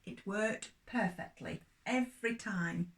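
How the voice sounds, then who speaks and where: Slow-paced older woman telling children's stories. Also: mid-range voice. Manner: expressive, addressing small children, drawn-out words. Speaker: storyteller for young children. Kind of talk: children's story narration.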